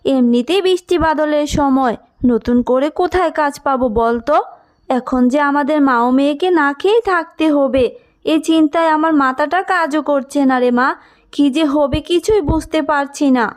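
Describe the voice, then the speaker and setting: A woman voicing a gentle, worried poor mother in a cartoon story, speaking in a plaintive, motherly way. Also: sits high, a little slow. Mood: worried, sad.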